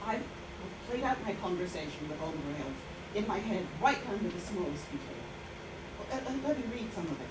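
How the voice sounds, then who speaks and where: A woman playing a haunted former nurse recounting her memories in a halting, reflective monologue at a staged reading. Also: fairly low pitch, animated, heavy background noise, natural voice. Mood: wistful, hesitant. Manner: halting, with repeated words. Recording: roomy, distant mic, music behind.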